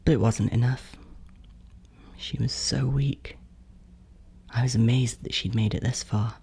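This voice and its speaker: Bitter sibling ghost , played by an actor for horror audio drama: hushed, mellow and creaky, brooding, yearning. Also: mid-range voice, fairly even intonation. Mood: grieving, hushed. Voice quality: breathy, often near whisper, creaky. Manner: slow, halting, brooding.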